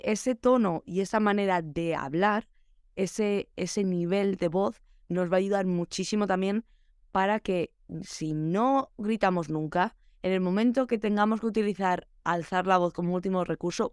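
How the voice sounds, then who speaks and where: A woman's voice: fairly low pitch, a little mellow, clear, resonant tone, dry recording, studio quality. A young adult woman hosting a solo podcast for teachers, chatty and reflective, giving practical advice.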